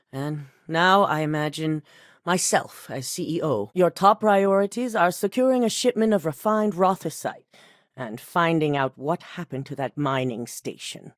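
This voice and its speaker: An actress voicing a composed, commanding female corporate CEO in a video game, speaking in a measured, authoritative, businesslike way. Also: lowish voice, no room sound, clean studio sound, natural voice. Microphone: dry studio voice recording.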